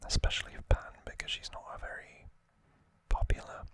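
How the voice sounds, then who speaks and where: An ASMR host whispering softly and carefully through an unboxing, with gentle pauses.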